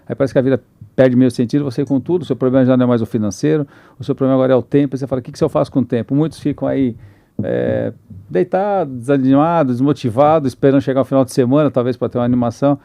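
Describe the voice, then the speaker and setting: A man's voice: mid-range voice, very fast, creaky, mellow, no echo. A man hosting a podcast, introducing his guests with enthusiastic, rambling talk full of restarts. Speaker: podcast host.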